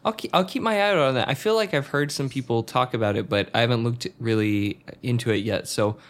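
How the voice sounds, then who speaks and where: A man's voice: mid-range voice, fairly quick. Easygoing man co-hosting a podcast. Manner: easygoing, explains with context, hesitant fillers. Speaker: podcast co-host. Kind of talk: casual chat.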